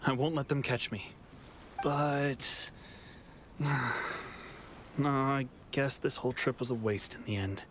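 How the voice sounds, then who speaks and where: A man's voice: medium pitch, takes it slowly, pauses often, fairly bright tone, a little hiss or hum. An actor playing a weary young man in hiding in a video game, speaking hesitantly and with regret. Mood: wistful. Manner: hesitant, subdued, sighing. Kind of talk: game character dialogue.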